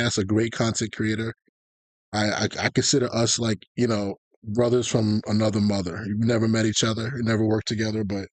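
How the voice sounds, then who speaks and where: Man, lowish voice, dry close sound, casual live-stream explainer, informal, rambling, conversational. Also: dry recording. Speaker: video game content creator hosting a live lecture.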